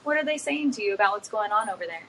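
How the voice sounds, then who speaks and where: A woman's voice: medium pitch, animated, racing, a bit bright, slight background noise, low-quality recording. A woman TV news reporter interviewing a guest, asking questions in a conversational, curious way.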